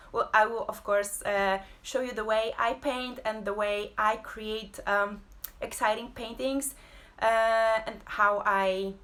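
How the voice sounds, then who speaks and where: A woman, hosting a casual live-streamed talk from home, pausing often with fillers. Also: medium pitch, a bit bright. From Poland. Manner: hesitant, many fillers and pauses. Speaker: host and abstract painter.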